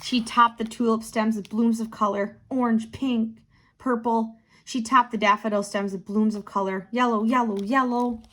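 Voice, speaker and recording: A woman narrating a picture book for children, expressive and deliberate, lingering on key words. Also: a little high. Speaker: tutor reading a children's book aloud.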